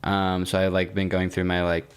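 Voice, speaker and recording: A man's voice: low voice, completely flat delivery, normal speed, clean tone. A man with an American accent chatting casually as a comedic podcast co-host, emphatic, slangy and sweary.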